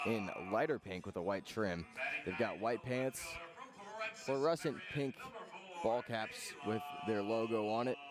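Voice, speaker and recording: A man giving live radio play-by-play commentary for a baseball game as the announcer. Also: mid-range voice.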